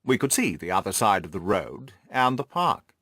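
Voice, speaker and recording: A man's voice: fairly low pitch, very animated, moderate pace, sharp tone, breathy edge, no echo, studio quality, voice pushed down, exaggerated voice. A man voicing a stately, condescending, old-fashioned nobleman in an audiobook, formal and haughty. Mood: haughty, doubtful. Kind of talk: audiobook character dialogue.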